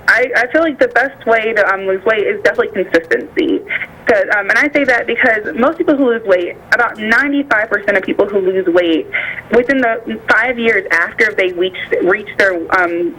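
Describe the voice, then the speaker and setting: A woman's voice: mid-range voice, normal speed. An American woman speaking by phone as a nutritionist guest, explaining things informally and illustrating points with imagined quotes. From United States. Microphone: phone call. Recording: bright voice over phone line.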